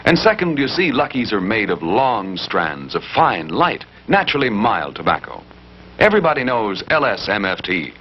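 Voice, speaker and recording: American male pitchman, 1950s style. Lowish, very animated, emphatic delivery on a muffled vintage broadcast. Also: normal speed, slight background noise. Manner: emphatic, demonstrative sales delivery. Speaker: commercial announcer. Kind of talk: scripted 1950s cigarette advertisement.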